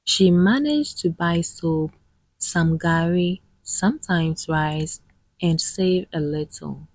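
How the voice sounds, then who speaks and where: Her voice is fairly low and husky, and she takes her time. She narrates a scripted folktale with solemn, measured phrasing, leaving dramatic pauses between short clauses. She is a woman storyteller working through her tale line by line. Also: no echo, low-quality recording.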